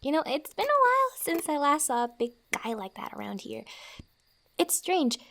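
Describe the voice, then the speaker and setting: High-voiced, playful fantasy wanderer played by a woman. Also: wildly swooping pitch, a bit bright, exaggerated voice. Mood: soft, grateful. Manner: teasing, halting, breathy little laughs. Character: playful, guarded young woman forager, a fantasy woodland wanderer. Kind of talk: ASMR fantasy roleplay acting.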